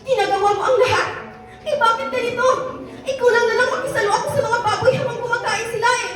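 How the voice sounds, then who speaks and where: A woman's voice: very high-pitched, fast. A woman playing a spoiled, ungrateful eighteen-year-old daughter, whining and demanding, in an amateur radio drama. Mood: scornful. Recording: echoey distant recording with music behind.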